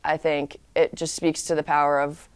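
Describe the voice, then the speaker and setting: A woman's voice: low-pitched, little pitch movement, moderate pace, clear, resonant tone, no echo. A woman college lacrosse player answering interview questions in an earnest, casual way.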